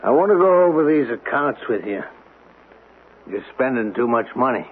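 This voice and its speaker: An actor playing a troubled, irritable middle-aged American widower in a radio drama, speaking in a halting, intense way. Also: male voice, mid-range voice, sing-song, natural voice. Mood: curt, irritable. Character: troubled, brooding, irritable wealthy male widower. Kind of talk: 1970s radio drama acting. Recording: tinny, band-limited old radio, faint hiss.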